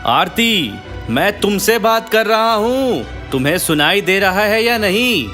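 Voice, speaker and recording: A man's voice: high-pitched, expressive, slow, pushed and bright, faint music behind, exaggerated voice. A man playing a weary, reproachful husband in a cartoon story, speaking in an earnest, lecturing way. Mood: annoyed. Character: weary, reproachful husband, a sensible adult son. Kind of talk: Hindi cartoon moral story dialogue.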